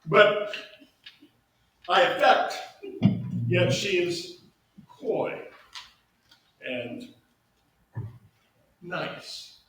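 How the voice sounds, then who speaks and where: A distant, heavily echoing hall carries a man's performance of an old scheming duke. The voice is high and swings widely in pitch, drawing out Shakespearean lines with theatrical, halting exasperation. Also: animated, a bit bright, full character voice. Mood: coy, pleading. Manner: theatrical, halting, deliberately drawn-out delivery. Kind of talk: staged reading of Shakespeare. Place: staged reading in a large echoey room. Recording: echoey hall from far off, faint noise.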